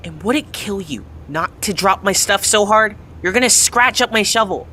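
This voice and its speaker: Woman as a bright-voiced bossy cartoon creature. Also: mid-range voice, lively intonation, moderate pace. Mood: bossy, scornful. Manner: bossy, sarcastic. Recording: faint background noise.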